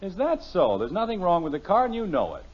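Exasperated, sarcastic dad, swooping delivery. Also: male voice, high voice, huge pitch swings, quick pace, breathy edge, exaggerated voice. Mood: exasperated, skeptical. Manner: dry sarcasm, wordy, mock-formal. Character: exasperated, sarcastic suburban father of three. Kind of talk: vintage radio sitcom acting. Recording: muffled old radio broadcast recording.

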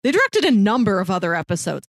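Young woman podcast host just chatting about tv, normal pitch, really dry close recording. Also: sing-song, fast talker, no echo. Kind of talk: casual chat about TV shows.

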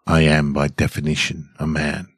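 A middle-aged man hosting a podcast and reading stories in a measured way. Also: extremely low pitch, completely flat delivery, dry recording, clean studio sound. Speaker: podcast host and story reader. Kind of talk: scripted story narration and casual podcast outro.

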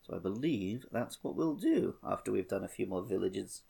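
A man's voice: on the low side, expressive, moderate pace, gravelly, warm tone, breathy edge. A man co-hosting a podcast, chatting casually about a video game. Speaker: podcast co-host.

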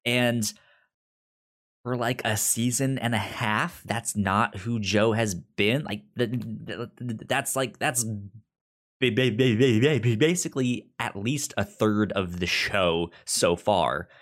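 A man's voice: lowish voice, slow pace, fairly bright tone. A man co-hosting a podcast, chatting casually about a TV series, often stammering and restarting his words. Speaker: podcast co-host. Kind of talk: casual TV recap chat.

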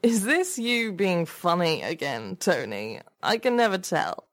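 A woman's voice: fairly low pitch, sing-song, unhurried. Audiobook role: dismissive male boss read by a woman, blunt and offhand, placating his staff. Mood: amused, sceptical.

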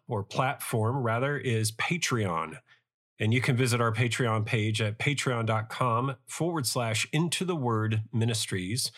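Male podcast preacher, low-leaning pitch, raspy with a cold, earnest and measured. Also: a bit bright. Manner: earnest, devout, measured phrasing. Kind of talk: Bible study sermon with prayer.

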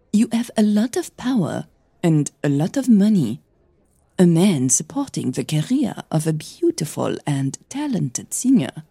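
Audio drama mother, older and wealthy: composed, frank, husky, soft-toned, played by a female narrator. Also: low voice, no echo, clean studio sound, exaggerated voice.

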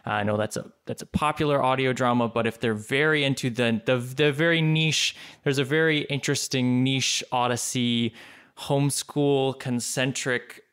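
A man from Canada speaking as a podcast guest, casual and halting as he thinks aloud. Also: medium pitch, a bit bright, clean tone. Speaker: podcast guest, audio drama producer. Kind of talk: casual interview chat.

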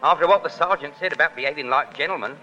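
A man's voice: a little high, fast, pushed and bright, faint music behind. A man playing a chummy, grumbling Cockney legionnaire sidekick in a 1930s radio drama. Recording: dull, reverberant 1930s radio recording.

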